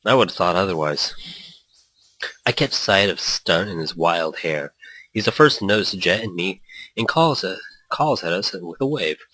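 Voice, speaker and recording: A man's voice: low voice, animated, moderate pace, sharp tone, no room sound, low-quality recording. A man hosting a gaming let's play, reading story text aloud with playful, rambling asides and small stumbles. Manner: playful, rambling, stumbles over read lines.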